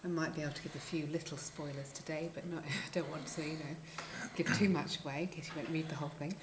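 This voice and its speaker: A woman with a very low voice introduces herself on a panel, chatty and easygoing with small laughs. The room is echoey, with clear noise behind her. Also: fairly even intonation, fast. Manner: chatty, light laughs, frequent ums. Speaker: government policy director. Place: conference hall at a tech fair.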